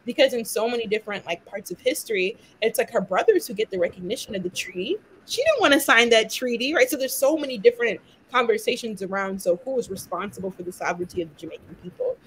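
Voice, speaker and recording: A woman's voice: a little high, lively intonation, fast talker, few pauses, a little hiss or hum, poor audio. A young woman from London and Miami speaking passionately in a conversational discussion, peppering her talk with "like" and "right?". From born in London, raised in Miami. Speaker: guest, activist.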